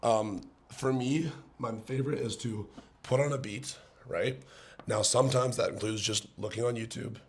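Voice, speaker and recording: Guy from ontario on a podcast, voice shot and hoarse, real gravelly and froggy, chill and joking. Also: mid-range voice, fairly even intonation, moderate pace, a little hiss or hum. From Ontario, Canada. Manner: laid-back, joking, self-assured. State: hoarse, voice worn out. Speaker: guest rapper. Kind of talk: casual podcast chat.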